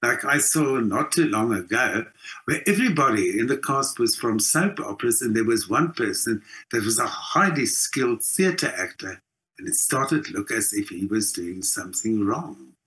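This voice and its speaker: A man's voice: medium pitch, lively intonation, moderate pace, pushed and bright, low-quality recording. A man with a South African accent giving an opinionated, emphatic answer in a conversational interview. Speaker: interview guest, theater actor and singer.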